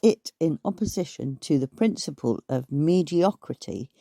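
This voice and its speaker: A man acting in an audio drama as a dryly dismissive lecturer with a measured, faintly menacing way of talking. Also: a little high, animated, creaky, warm tone, dry recording. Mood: dry, lecturing.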